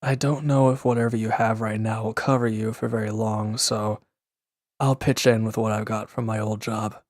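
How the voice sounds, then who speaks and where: A man acting a gruff, guarded former villain in a roleplay audio drama, curt and grumbling, reluctant to lean on anyone. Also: fairly low pitch, flat delivery, normal speed, no room sound, studio quality, lightly acted. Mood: matter-of-fact, insistent. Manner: curt, grumbling, defensive, with sighs and hesitant breaks. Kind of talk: scripted roleplay audio drama addressed to the listener.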